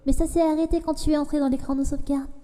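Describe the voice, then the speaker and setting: A woman playing a gentle, practical human child in a comic dub, with a soft, questioning manner. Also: sits high, little pitch movement, very fast, in a large hall, close to an everyday voice. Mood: hopeful, reasoning. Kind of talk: dramatic fan comic dub.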